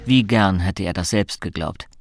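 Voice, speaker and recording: A man's voice: low-pitched, moderate pace, slight background noise. A man reading scripted literary narration as the sober storyteller of a radio drama. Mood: sober, reflective. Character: sober male third-person storyteller.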